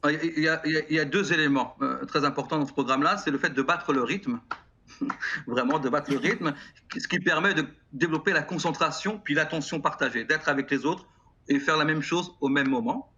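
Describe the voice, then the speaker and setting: Earnest French man with a husky, bright voice. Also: fairly high pitch. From France. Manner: earnest, halting, many repeated words. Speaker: guest, music educator. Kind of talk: TV interview answers. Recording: slightly dull broadcast sound.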